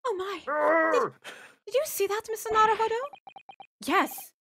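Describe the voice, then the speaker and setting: A woman voicing a polite, earnest young male lawyer who is quick to get exasperated, in a fan dub of a game, with game music behind. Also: extremely high pitch, animated, fairly bright tone.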